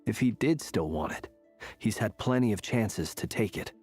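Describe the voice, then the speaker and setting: A man playing a composed, cautious investigator in a video game, with a husky voice and measured, serious delivery. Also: on the low side, expressive, darkish tone, soft background music. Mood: grave. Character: composed, cautious male investigator, calm leader.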